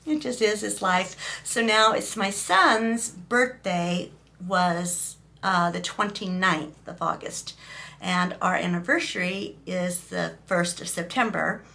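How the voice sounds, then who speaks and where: Raspy, slow-talking woman vlogger. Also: fairly low pitch, sharp tone. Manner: chatty, emotional, rambling with fillers. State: hoarse from a cough. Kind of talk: casual talking-head vlog. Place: small indoor room.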